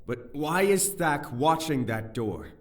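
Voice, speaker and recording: An alarmed nobleman played by a male actor in a fantasy audio drama. He sounds urgent and questioning, with a fairly high voice. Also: sing-song, takes it slowly. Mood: anxious.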